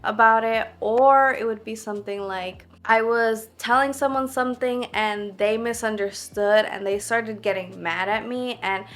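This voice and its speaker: A woman's voice: on the high side, fairly even intonation, a little slow, hardly any pauses. Earnest young woman vlogger, chatty. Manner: earnest, heavy use of "like" fillers. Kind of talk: casual reflective talk to camera.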